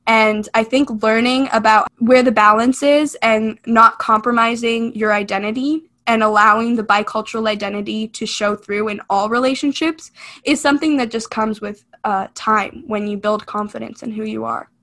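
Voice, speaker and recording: Young woman, slightly high voice, earnest and reflective, casual filler words, podcast co-host. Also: fairly even intonation, moderate pace, fairly bright tone. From California. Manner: earnest and reflective, frequent "like" and "you know". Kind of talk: casual chat.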